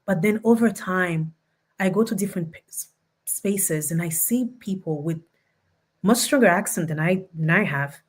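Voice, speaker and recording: A woman's voice: fairly low pitch, normal speed, husky. A woman with a non-native English accent, reflecting personally as a panelist. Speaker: panelist, attorney.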